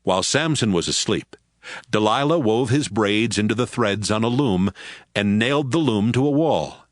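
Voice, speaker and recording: A man's voice: lowish voice, expressive, normal speed, no echo, clean studio sound. A man giving scripted narration for a Bible audio drama.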